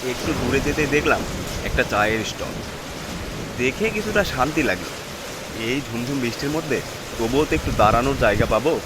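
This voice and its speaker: Man acting as village cattle doctor, horror cartoon storyteller, mid-pitched voice, rain and wind noise behind. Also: expressive, fairly quick, long pauses, close to an everyday voice. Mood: uneasy, weary. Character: village cattle doctor telling his eerie tale, unafraid. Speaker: narrator and lead character. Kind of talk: first-person scripted horror story narration for cartoon.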